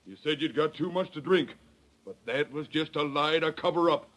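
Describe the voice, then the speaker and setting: Man playing a fairly high-voiced stern sheriff. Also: moderate pace, fairly bright tone, lightly acted. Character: stern, suspicious Old West sheriff and father. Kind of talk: 1930s radio drama acting.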